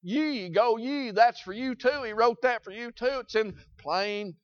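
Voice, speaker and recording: A man preaching a sermon in a Southern US accent, folksy and repetitive, swinging between loud shouts and quiet asides. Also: very high voice, measured pace, no room sound, muffled recording.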